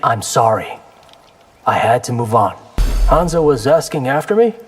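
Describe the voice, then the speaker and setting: An actor playing a wry, defiant, earnest young ninja warrior in a video game. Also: male voice, mid-range voice, big pitch swings, moderate pace, soft background music, lightly acted.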